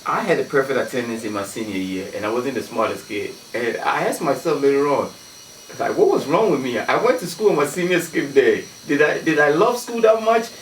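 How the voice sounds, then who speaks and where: A man from Ghana talking as a guest on a radio show, telling long stories full of "you know". His voice is at a middle pitch. It sounds roomy and off the mic, with a faint hiss behind it. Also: sing-song, fairly quick, recorded from a distance. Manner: talkative, many "you know" fillers, laughs often. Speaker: guest, community activist. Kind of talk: radio interview storytelling. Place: radio studio.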